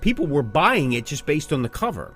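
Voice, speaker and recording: A man's voice: a little high, big pitch swings, fairly quick, a bit bright, soft background music. A man co-hosting a podcast in casual discussion, speaking emphatically and posing rhetorical questions. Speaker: podcast co-host. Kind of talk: casual music-industry discussion.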